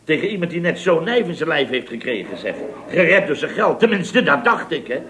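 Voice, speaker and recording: A man's voice: fairly high pitch, sing-song, a little fast, pushed and bright. A man acting a blustering, indignant builder boss who tells tall stories theatrically in an old Dutch radio comedy. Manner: theatrical, exclamatory storytelling with repeated tag words.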